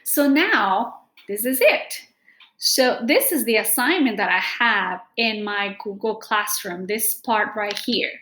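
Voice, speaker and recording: A middle-aged woman reading aloud to students as a teacher, explaining deliberately and addressing them directly in a room at home. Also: medium pitch, expressive, sharp tone, roomy sound. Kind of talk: read-aloud lesson with simple explanations. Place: small room at home, indoors. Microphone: laptop or webcam microphone at desk distance.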